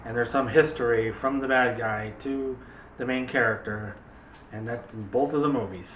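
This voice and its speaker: A man's voice: mid-range voice, moderate pace, fairly bright tone, far from the mic. A man hosting a casual movie chat, talking directly to viewers in a chatty way. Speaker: video host. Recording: roomy, noisy, off-mic home recording.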